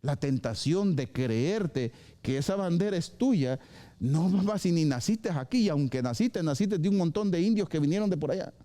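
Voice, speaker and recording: A male pastor preaching to his congregation at a live church service. His voice sits high for a man but has a soft, mellow tone. He preaches in a lively way, acting out quick exchanges and slipping English phrases into the sermon. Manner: lively, acts out short dialogues and slips into English phrases.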